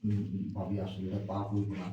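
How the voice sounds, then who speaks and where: A man's voice: low-pitched, completely flat delivery, fairly quick, warm tone, muffled recording, natural voice. A man acting a timid, nervous, inexperienced young brother-in-law in a short film, stammering and hesitant, with a Bangladeshi Bengali accent. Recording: very echoey room, distant mic, faint noise.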